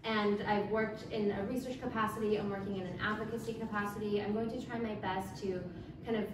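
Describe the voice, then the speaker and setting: A woman's voice: medium pitch, steady tone, brisk. A young woman from Los Angeles giving an earnest public talk as a guest speaker, a little nervous at first. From Los Angeles, California. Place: event hall. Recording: echoey hall, from far off, background noise.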